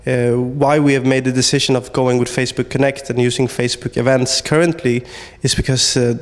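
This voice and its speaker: A man's voice: mid-range voice, level tone, fairly quick, in a large hall. A man from Sweden, a startup founder, answering interview questions hesitantly with many fillers and restarts.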